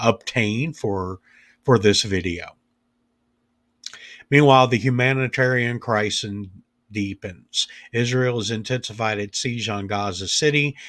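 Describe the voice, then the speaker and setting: A man reading a news roundup while he has a head cold, his voice raspy. He moves between headlines and halting, casual asides. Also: mid-range voice, fairly bright tone, dry recording. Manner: reads bulletins, then drifts into halting, filler-heavy asides. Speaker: news channel host.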